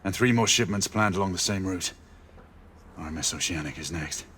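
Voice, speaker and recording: An actor playing a grave, weary knight in a video game, speaking tersely and grimly. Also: male voice, low voice, a little fast, sharp tone. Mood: grim, intent. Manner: terse, grim. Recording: clear background ambience noise.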